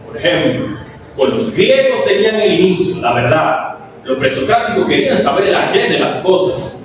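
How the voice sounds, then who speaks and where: Dominican guy giving a philosophy talk, lots of questions at the crowd, high voice, noisy echoey room. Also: sing-song, moderate pace. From Dominican Republic. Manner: rhetorical, full of questions to the audience. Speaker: philosophy student presenter. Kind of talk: academic speech. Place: event hall before an audience. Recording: very echoey, distant mic with noise.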